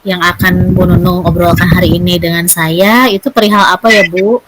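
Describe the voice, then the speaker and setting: A woman's voice: lowish voice, fairly even intonation, fairly quick, noisy background. A woman, a teacher speaking politely and deferentially in a semi-formal coaching conversation.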